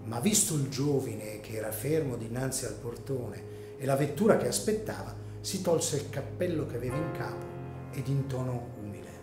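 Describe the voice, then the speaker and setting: An echoey recording with music under a male narrator. He tells a dramatic story at a mid pitch, in a measured and solemn literary style.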